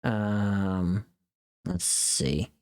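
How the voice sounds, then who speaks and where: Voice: sits low, very slow, a little mellow. A livestream host chatting casually, rambling and thinking aloud to themselves.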